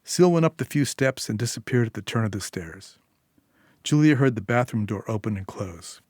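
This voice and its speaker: Male storyteller for dramatic short fiction, middle pitch, dry close sound. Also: animated, normal speed, a little mellow, no room sound. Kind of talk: scripted narration, dramatic short story reading.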